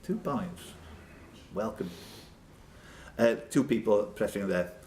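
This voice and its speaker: Male pub quiz host, animated delivery, bantering between questions, faint background noise. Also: fairly low pitch, slow pace, rough recording. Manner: jokey banter with contestants; reads questions aloud, then chats off the cuff. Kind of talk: live pub quiz hosting. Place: indoors at a pub quiz event.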